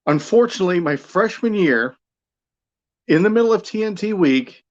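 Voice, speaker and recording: A man's voice: high voice, lively intonation, moderate pace. Dull-sounding recording of a candid American man. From Buffalo, New York area, United States. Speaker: sports radio play-by-play broadcaster, interview guest. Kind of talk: conversational career storytelling.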